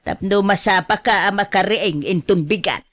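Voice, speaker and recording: A woman's voice: mid-range voice, moderate pace, fairly bright tone, close to an everyday voice. A woman acting a money-minded, indulgent middle-aged mother in a radio drama, chatty and conniving. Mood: scheming, amused.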